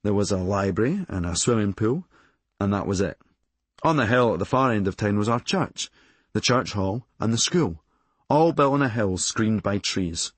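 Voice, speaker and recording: A man with a Glasgow Scottish accent reading a scripted memoir, with a deadpan, darkly humorous delivery. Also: fairly low pitch, normal speed, dry recording. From Glasgow, Scotland. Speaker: audiobook narrator and author.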